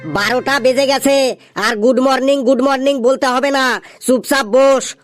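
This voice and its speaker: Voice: high-pitched, steady tone, unhurried, soft background music. A performer voicing a stern, scolding schoolteacher in a comedy cartoon, bossy and full of questions. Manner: bossy, interrogating.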